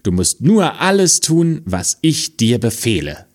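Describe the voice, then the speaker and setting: A man's voice: medium pitch, big pitch swings, a little slow, a little mellow, pitched above the performer's own voice. A man voicing a cunning, bossy male fiddler in a fairy tale, giving orders in a mocking tone. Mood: commanding. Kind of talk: storybook voice acting.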